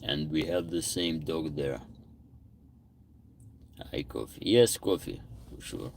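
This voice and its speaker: A man's voice: a bass voice, lively intonation, slow pace, gravelly, a little hiss or hum. A man hosting a casual livestream, greeting viewers by name and answering their comments aloud. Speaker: livestream host.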